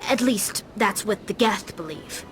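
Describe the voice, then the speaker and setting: A woman's voice: mid-range voice, a little slow, close to an everyday voice. An actress playing a young alien pilgrim in a video game, earnestly explaining what she has learned. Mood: grave, explaining. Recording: loud music behind dialogue. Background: music.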